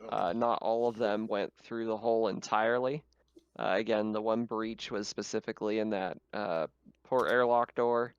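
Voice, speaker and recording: A man's voice: on the low side, steady tone, moderate pace, clear, resonant tone, no echo. A man improvising narration as the game master of a tabletop game, explaining in a hesitant way. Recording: slightly muffled, dull home mic.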